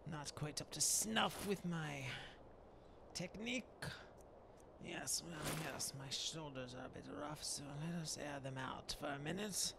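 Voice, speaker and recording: A man voicing a mild, courtly Dark Elf shaman in improvised video game roleplay, musing aloud in hesitant, old-fashioned phrases. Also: a little high, animated, a little slow. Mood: calm, musing. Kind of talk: in-character improvised gameplay narration. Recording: clearly noisy background.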